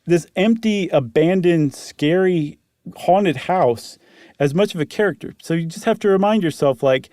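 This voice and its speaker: Mellow, higher-voiced man co-hosting. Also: moderate pace, no echo, clean studio sound. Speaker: podcast co-host. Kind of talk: story reading and casual chat.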